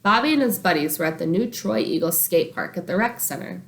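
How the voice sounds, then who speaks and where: A woman reading a children's book aloud like a teacher, expressive and animated, acting out the dialogue. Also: lowish voice, brisk.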